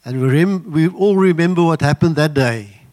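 An older man, an Afrikaans speaker, preaching a sermon in an earnest, halting way with many fillers. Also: a little high, darkish tone. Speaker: guest preacher.

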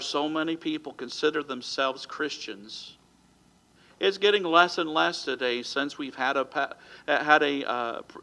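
A pastor from America preaches in an earnest, steady way, his voice bright and forward. He sometimes stumbles and restarts a phrase before carrying on. His pitch sits in an ordinary middle range for a man. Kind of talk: sermon ending in prayer. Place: church sanctuary.

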